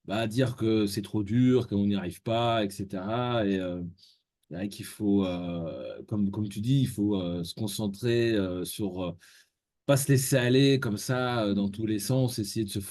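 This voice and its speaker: A man hosting a casual interview, relaxed and full of fillers and small agreeing sounds. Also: fairly low pitch, unhurried, no echo, clean studio sound. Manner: relaxed, frequent fillers and backchannels. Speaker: interview host.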